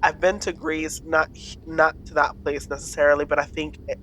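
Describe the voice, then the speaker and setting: A woman's voice: deep voice, flat delivery, moderate pace, fairly bright tone, slight background noise, poor audio. A woman co-hosting a podcast in casual chat, playfully quizzing and teasing her co-host and laughing often.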